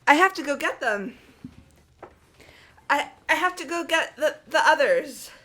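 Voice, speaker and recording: A woman playing a grief-stricken, hot-tempered teenage girl in a fan-voiced game, emphatic and halting. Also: sits high, animated, sharp tone, full character voice. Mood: stunned.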